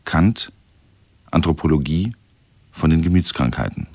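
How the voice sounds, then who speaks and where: A sober male narrator reads scholarly prose for a radio play. The voice is low, the delivery formal and measured, the studio sound close and dry. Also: expressive, darkish tone, slightly rough, no room sound, exaggerated voice. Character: sober male narrator reading out old scholarly medical text.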